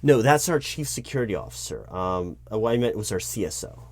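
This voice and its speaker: A man with a fairly low voice plays an awkwardly polite corporate hiring manager in an improvised audio roleplay. He talks hesitantly, stammering and doubling back on words as he addresses an unheard applicant, sounding flustered. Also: animated, brisk. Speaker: roleplay performer playing a recruiting manager. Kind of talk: improvised one-sided job interview roleplay.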